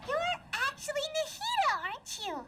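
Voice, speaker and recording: A woman voicing a tiny, excitable childlike fairy companion in an anime game, squeaky and openly emotional. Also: moderate pace, far from the mic, very noisy. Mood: curious. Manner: emotional, exclamatory, refers to self by name. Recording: game dialogue over clear music.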